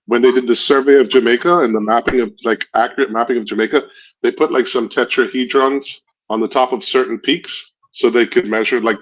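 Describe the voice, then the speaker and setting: A man with a Guyanese accent talks casually on a livestream, wandering through stories and breaking into laughter. His voice sits at a middle pitch, and the audio is dull and lo-fi. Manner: laughs often, rambling storytelling. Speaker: streamer. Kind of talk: casual chat.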